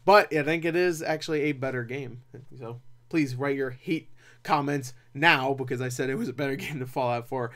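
A young man talking casually as a film reviewer, rambling and animated, with opinionated asides. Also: mid-range voice, moderate pace. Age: young adult. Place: small cluttered room at home.

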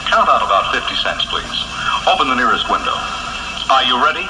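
A man's voice: mid-range voice, very animated, a little fast, reverberant, very noisy. A man announcing in 1960s radio style on an old AM aircheck. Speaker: announcer in a comic radio promo.

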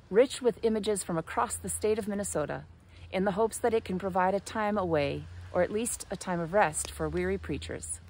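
A woman in her forties, a pastor, giving a measured, earnest devotional reflection outdoors by a snowy fire pit, filmed on a phone at arm's length. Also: fairly low pitch, darkish tone. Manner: measured, earnest, lyrical delivery. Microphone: phone camera at arm's length.

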